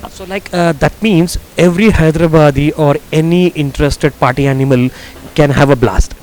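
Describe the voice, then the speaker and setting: A man's voice: on the high side, moderate pace, a little mellow, poor audio. A man interviewing bar staff, asking friendly prompting questions. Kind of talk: news interview.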